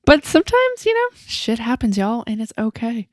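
A woman's voice: fairly high pitch, sing-song, moderate pace, dry recording, studio quality. A woman from Massachusetts hosting a podcast, talking in a rambling, casual way that mixes in frequent laughs and casual swearing. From Massachusetts, USA. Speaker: podcast host.

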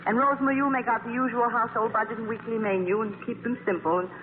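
An actress playing an ambitious, guarded magazine editor in a 1940s radio play, crisp and commanding with a dry, sardonic manner. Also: female voice, on the high side, fairly even intonation, racing, lightly acted. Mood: brisk, businesslike. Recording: hissy, tinny old radio broadcast, hall reverb.

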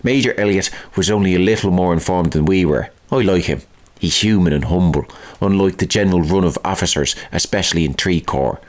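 A man's voice: low-pitched, a little fast. A man giving a scripted narration of a wartime diary.

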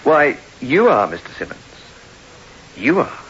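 A dogged police inspector presses on courteously in a forceful, lively voice of medium pitch. The old radio drama recording is dull and faintly hissy. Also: male voice, measured pace. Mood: probing, insistent. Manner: probing, insistent questioning. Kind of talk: 1960s radio drama acting.

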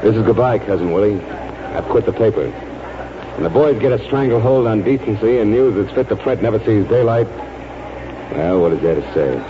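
Man playing a deep-voiced earnest newspaperman. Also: lively intonation, moderate pace, natural voice. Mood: bitter, resigned. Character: earnest, disillusioned newspaperman cousin, turning to duty. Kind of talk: 1940s radio acting. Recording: muffled, noisy 1940s radio recording.

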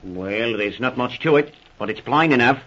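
Bullheaded male police officer, husky, blunt and rustic in his grammar, 1940s radio serial, muffled old recording. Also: medium pitch, expressive, normal speed, a bit bright. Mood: insistent. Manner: blunt, indignant, rustic ungrammatical speech. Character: stubborn, blustering policeman eager to charge the suspect. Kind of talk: 1940s radio drama acting.

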